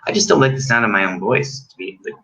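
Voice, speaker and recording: A man's voice: medium pitch, sing-song, fast talker, fairly bright tone. A man talking casually as a guest musician, rambling with many fillers and restarts. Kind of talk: casual chat.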